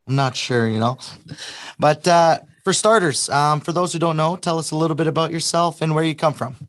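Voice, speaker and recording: A man hosting an interview, his voice raspy as it recovers after he lost it, upbeat with short affirmations between questions. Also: fairly high pitch, fairly quick, fairly bright tone. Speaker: host.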